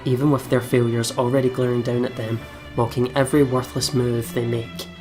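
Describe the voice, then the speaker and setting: A man's voice: mid-range voice, level tone, brisk. A man playing a visual novel, reading it aloud dramatically and chatting casually in between. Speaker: let's play YouTuber reading a visual novel. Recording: music behind the voice. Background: game music.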